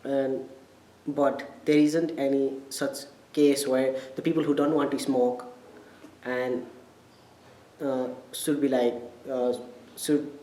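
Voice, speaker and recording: Young man, Nepali accent, college student interviewee, halting and searching for words, mid-pitched, roomy echo. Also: level tone, dark tone. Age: young adult. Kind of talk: casual interview answers. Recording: echoey room with background noise.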